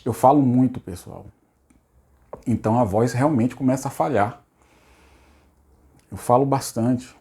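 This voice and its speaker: Hoarse middle-aged man. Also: mid-range voice, measured pace, long pauses, warm tone. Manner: repetitive, rhetorical, casual asides. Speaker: psychologist hosting a channel. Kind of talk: unscripted spiritual self-help talk.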